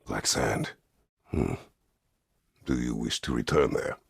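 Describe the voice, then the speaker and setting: An actor playing a stern, weary, middle-aged Greek war god in a video game, speaking tersely and formally with a gravelly, husky voice. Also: male voice, rumbling low, takes it slowly, dry recording, studio quality, exaggerated voice. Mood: stern, brooding. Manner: terse, grave, formal phrasing without contractions. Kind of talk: dramatic video game voice acting.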